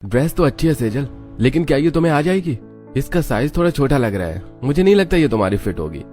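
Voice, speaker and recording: A man's voice: mid-range voice, big pitch swings, fast talker, mellow, voice pushed up, close to an everyday voice. A man voicing an exasperated young husband in a scripted cartoon story, talking in a weary, lecturing way. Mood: doubtful. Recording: music under the voice.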